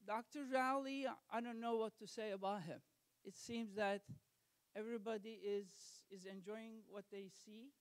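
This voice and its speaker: A man from Turkey with a Turkish accent, hosting an art exhibit reception and giving an earnest, halting welcome speech at a school gallery. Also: sits high. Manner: earnest, halting, many fillers and restarts.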